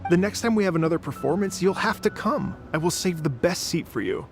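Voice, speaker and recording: Effusive, high-voiced young musician. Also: male voice, animated, fairly quick. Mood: hopeful. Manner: effusive, heartfelt. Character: earnest, awestruck young male musician, polite and effusive. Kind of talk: video game voice acting. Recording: music under the voice.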